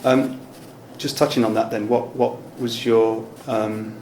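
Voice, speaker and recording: A man's voice: medium pitch, level tone, unhurried, a little mellow. A man conducting a casual interview with tentative, loosely phrased questions and an agreeable manner. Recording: echoey room with background noise.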